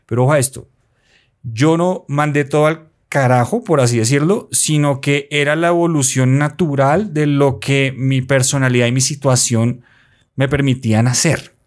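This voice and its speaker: A man in his forties from Colombia with a Bogotá accent, a podcast guest telling his story in animated storytelling chat with playful asides, recorded on a desk mic in a small studio. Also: medium pitch, moderate pace. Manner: animated, gestures while talking, playful asides. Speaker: guest, motorcycle traveler. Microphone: desk mic close by.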